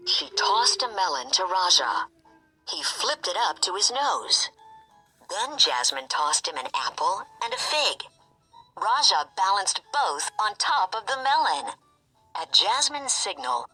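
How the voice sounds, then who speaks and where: Bright, animated woman reading a storybook. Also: mid-range voice, slightly rough, rough recording. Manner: animated, expressive. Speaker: storybook reader. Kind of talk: scripted children's story narration.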